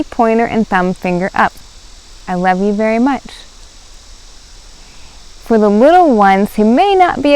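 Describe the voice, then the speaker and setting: A woman's voice: mid-range voice, a little mellow, clean tone, low-quality recording. A woman in her thirties, a children's librarian, reading and presenting a storytime for young children.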